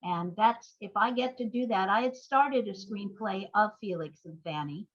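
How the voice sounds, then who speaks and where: An older woman talking as an interview guest, telling stories conversationally in halting, reflective phrases. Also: mid-range voice, muffled recording. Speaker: playwright and screenwriter guest.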